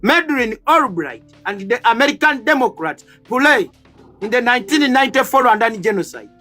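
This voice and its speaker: A man's voice: very high voice, lively intonation, moderate pace, sharp tone, faint music behind. A man in his thirties hosting a heated political debate panel, accusatory and emphatic, hammering rhetorical questions. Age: 30s.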